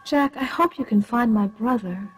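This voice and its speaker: A woman's voice: on the high side, normal speed, slightly rough, rough recording. A woman playing a wisecracking, melodramatic heroine in a comic radio play, theatrical and sardonic. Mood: romantic.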